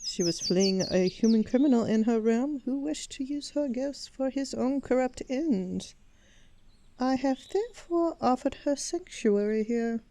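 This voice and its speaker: A woman playing a shrewd, persuasive elf queen, formal and quietly assured, in a fantasy audio roleplay. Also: sits high, expressive, a little slow, noisy background.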